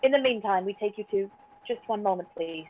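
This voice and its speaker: A woman's voice: mid-range voice, animated, a little fast, a little hiss or hum, natural voice. Woman as a formal radio announcer, muffled lo-fi sound. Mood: grave, formal. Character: formal female radio news announcer reading grave bulletins. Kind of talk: 1930s radio drama news bulletin. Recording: muffled lo-fi phone-like audio.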